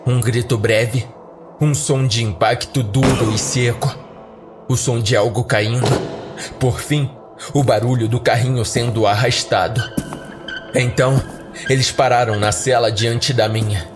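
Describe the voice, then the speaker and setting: Um homem com sotaque brasileiro narrando uma história de terror em primeira pessoa, de modo pausado e dramático. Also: male voice, medium pitch, steady tone, music in the background. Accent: Brazilian. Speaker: horror podcast narrator. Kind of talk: scripted first-person horror narration.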